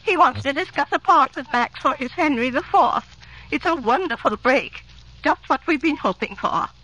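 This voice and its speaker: A woman's voice: sits high, lively intonation, normal speed, bright, forceful tone, rough recording. A woman playing an anxious, devoted and hopeful actor's wife in a 1950s radio drama. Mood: nervous, hopeful.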